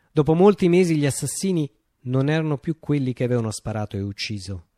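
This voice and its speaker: A man reciting a theatrical monologue for radio, declaiming with dramatic pauses and emphatic phrasing. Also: mid-range voice, warm tone, no echo, studio quality.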